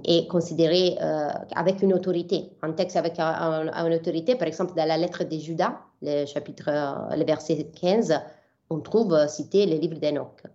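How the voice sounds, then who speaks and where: Italian woman, low hesitant voice. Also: steady tone, moderate pace, mellow, highs cut off. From southern Italy. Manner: hesitant, many fillers and restarts. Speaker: interview guest, biblical scholar. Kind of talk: conversational interview answers.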